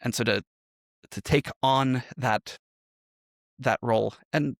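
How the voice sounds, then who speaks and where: A man's voice: mid-range voice, slow, no echo, clean studio sound. A man speaking as a podcast interview guest, explaining his craft conversationally with examples. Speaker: interview guest, improv musical performer.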